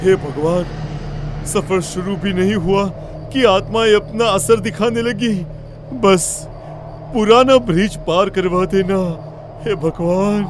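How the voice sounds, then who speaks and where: Frantic, high-voiced bus driver, played by a man. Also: takes it slowly, background noise. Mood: dread. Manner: agitated, exclaiming. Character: frantic, superstitious bus driver dreading ghosts. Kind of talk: animated horror story acting.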